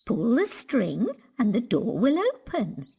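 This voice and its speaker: A frail, trusting old grandmother, drags her words out slowly. Her breathy voice swoops high and low in a kindly sing-song, as in a storybook cartoon. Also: sing-song, noisy background, full character voice. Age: older. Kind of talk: children's cartoon storybook acting.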